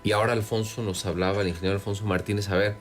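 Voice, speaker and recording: A Mexican man with a very deep voice answers an interviewer as a local official. He speaks conversationally and haltingly, searching for the right words. Soft music plays faintly underneath. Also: little pitch movement. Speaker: city government secretary being interviewed.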